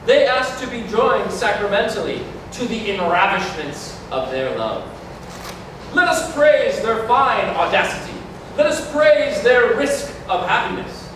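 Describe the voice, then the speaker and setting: A man acts as an exalted officiant at a mystical stage wedding. His high voice moves slowly through solemn, incantatory verse. It comes from far off in a very echoey hall. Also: very animated, background noise. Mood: exalted, ceremonial. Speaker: actor in a staged reading. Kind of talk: ceremonial theatrical verse recital. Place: large community hall, staged reading.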